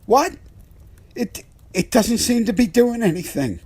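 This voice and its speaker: A man playing a bewildered, skeptical trucker in an audio horror drama, husky and incredulous. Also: very high-pitched, expressive, unhurried, darkish tone, slight background noise. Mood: startled, grumbling. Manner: incredulous, exclaiming.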